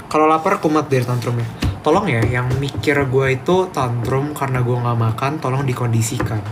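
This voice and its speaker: A man's voice: medium pitch, a little fast, music in the background. A young man with a Medan accent chatting casually on a live stream, reading viewers' comments aloud and ranting back at them emphatically. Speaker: livestreamer reading chat.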